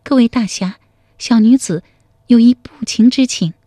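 A woman voicing a timid but quick-witted young woman in a storytelling radio serial, pleading in a soft, lilting way. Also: on the high side, no echo, clean studio sound, voice pushed up. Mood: earnest pleading.

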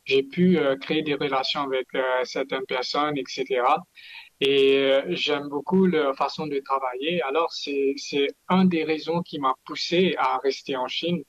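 A man's voice: mid-range voice, fairly bright tone, no room sound. A man talking casually as an interview guest, tripping over and repeating words. The sound is dry and close, with a dull, lo-fi quality.

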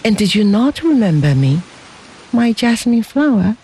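A loving young husband murmurs tenderly, his voice glad and sad at once, as a narrator puts him on. He speaks slowly over a faint hiss. It comes from a storybook reading. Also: mid-range voice, very animated, warm tone, voice pushed up.

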